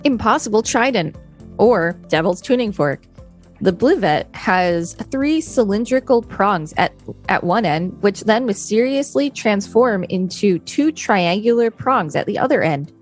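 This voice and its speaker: A woman's voice: mid-range voice, animated, a little slow, faint music behind. A woman co-hosting a podcast, reading encyclopedia text aloud with brief conversational asides. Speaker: podcast co-host.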